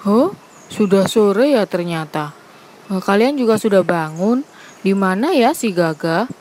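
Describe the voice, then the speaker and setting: A woman's voice: medium pitch, animated, unhurried, darkish tone, a little hiss or hum, natural voice. A woman playing a kindly, sensible animal friend in a children's storybook drama, reassuring and advising. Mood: agreeable, drowsy. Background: clear music, birds.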